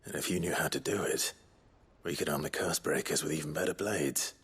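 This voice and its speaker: An actor playing an earnest, courteous young hero in a fantasy video game, speaking in a measured, restrained way. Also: male voice, very deep voice, fairly bright tone, husky, exaggerated voice. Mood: calm, earnest. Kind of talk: dramatic video game dialogue.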